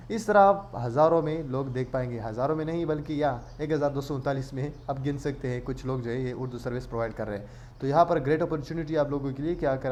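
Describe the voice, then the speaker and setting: A man's voice: medium pitch, a little mellow, slight background noise. A man hosting an online tutorial, explaining step by step in a casual way and mixing English words into his speech. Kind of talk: casual screen-share tutorial narration.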